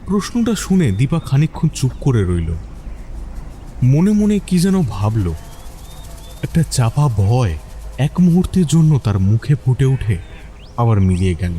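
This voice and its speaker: A man narrating a scripted mystery story in a dramatic, expressive style. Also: medium pitch, a little slow, pauses often, faint music behind.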